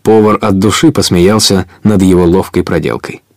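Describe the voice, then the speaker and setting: Man as storyteller for an adventure radio play, lowish voice, measured narration. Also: fairly even intonation, a little mellow, no room sound, studio quality, natural voice. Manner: measured, clearly told storytelling. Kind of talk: scripted narration of an audio drama.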